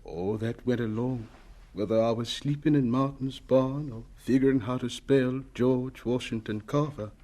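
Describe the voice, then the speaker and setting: A man's voice: medium pitch, normal speed, dark tone, close to an everyday voice. A man playing a humble, devout elderly professor, reverently reminiscing in a radio drama. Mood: reverent, fond.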